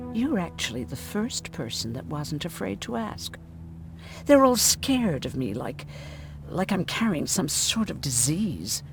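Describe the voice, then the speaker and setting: A woman's voice: deep voice, full character voice. A hardened woman medic who has survived too much, for a video game. She speaks in a matter-of-fact, bitter way, middle-aged and weary, with dry irony. Music plays behind her. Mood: bitter, haunted. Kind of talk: video game drama acting.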